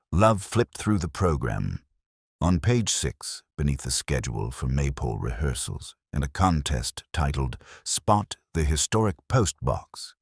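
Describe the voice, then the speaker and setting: A man's voice: a bass voice, breathy edge, dry recording, studio quality. A man reading a scripted detective mystery as a narrator, in storytelling audiobook style.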